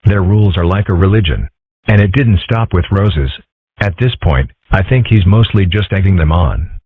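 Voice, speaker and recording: A man voicing a calm, philosophical young male fox in a comic dub, husky, measured and deadpan. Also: low-pitched. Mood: calm, explaining. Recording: muffled narrow-band lo-fi audio.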